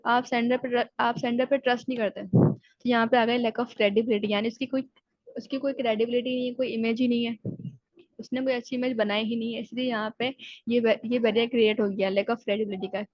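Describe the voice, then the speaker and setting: Woman teaching, reads definitions slow then explains, pretty flat voice, kinda muffled lo-fi audio. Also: a little high, no room sound. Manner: explains in Urdu-Hindi, slowly reads English definitions aloud, then paraphrases. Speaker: lecturer. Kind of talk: recorded exam-prep lecture. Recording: dull lo-fi sound.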